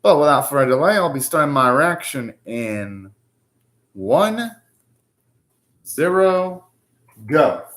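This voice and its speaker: Animated young man ranting in reaction. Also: fairly high pitch, slow pace, long pauses. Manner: animated, ranting, swears casually. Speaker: anime reaction host. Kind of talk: live reaction commentary.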